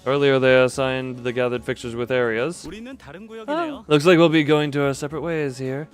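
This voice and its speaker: A male game streamer with a middling pitch reads story text aloud over game music. He corrects himself as he goes and drops in casual commentary. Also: animated, normal speed. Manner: stumbles and self-corrects while reading aloud.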